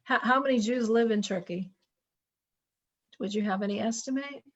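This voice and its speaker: A woman's voice: fairly high pitch, normal speed, highs cut off. A woman interviewing guests in a casual online chat, asking eager, curious questions.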